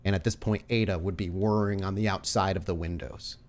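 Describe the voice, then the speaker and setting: A man's voice: sits low, fairly even intonation, a little fast. A man improvising narration as the storyteller of a tabletop roleplaying game.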